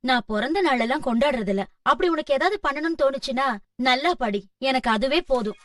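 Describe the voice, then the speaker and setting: Fast-talking high-voiced cartoon mother. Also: lively intonation. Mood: firm. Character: caring but firm mother of a young girl. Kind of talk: Tamil children's cartoon dub. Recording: slightly distant, music underneath.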